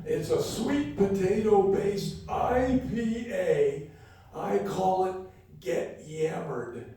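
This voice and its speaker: A man's voice: high voice, takes it slowly, warm tone. A man playing a cranky, eccentric very old comedian, grumbling and hammy, in a live comic radio play. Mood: mischievous, deadpan. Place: live fundraiser event room before an audience. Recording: echoey live hall, distant mic.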